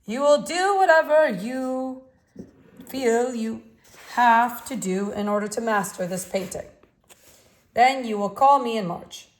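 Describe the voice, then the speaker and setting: A woman voicing a blunt, forceful older male artist with a strong voice and a faint Russian accent. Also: on the high side, expressive, measured pace, few pauses, voice pushed up. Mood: commanding. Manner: forceful, insistent, commanding.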